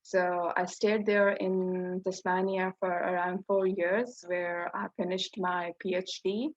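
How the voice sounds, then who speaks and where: A woman's voice: fairly low pitch, level tone, slow pace. A woman in her thirties with a Pakistani accent, introducing herself as a scientist on a webinar panel over a video call. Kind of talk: prepared self-introduction with hesitations. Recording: roomy, dull video-call audio.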